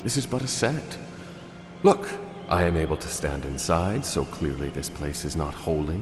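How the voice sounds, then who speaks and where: Proud theatrical vampire, deep voice, melodramatic game acting, big hall reverb. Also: male voice, big pitch swings, moderate pace. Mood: sullen, disdainful. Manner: haughty, theatrical. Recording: long hall reverb, music behind.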